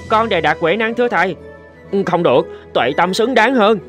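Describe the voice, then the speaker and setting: An actor playing a respectful young monk in a cartoon folk tale, speaking in an earnest, deferential manner. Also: male voice, sits high, animated, normal speed, a bit bright. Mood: insistent. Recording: music under the voice.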